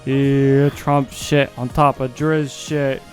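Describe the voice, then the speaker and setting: A man's voice: medium pitch, level tone, slow, soft background music, close to an everyday voice. A man voicing a brash rapper who boasts about running for president in a cocky, crude, swaggering manner. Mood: cocky boasting. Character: the Driz, brash trash-talking male rapper running for president. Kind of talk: crude comic boasting and battle banter.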